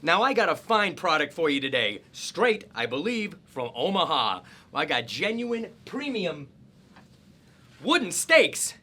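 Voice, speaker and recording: A high, bright-voiced vampire salesman played by a man hawks goods in a comic TV infomercial. His delivery swings widely, brash and folksy, with an exasperated edge. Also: sing-song, measured pace, slightly rough, full character voice. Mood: brash salesmanship. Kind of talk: parody TV infomercial pitch.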